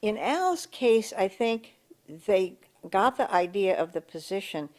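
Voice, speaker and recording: An older woman with a big voice, recalling local government history in an oral history interview, pausing and restarting as she searches for words. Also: medium pitch, lively intonation, darkish tone. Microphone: table mic in front of a coffee pot.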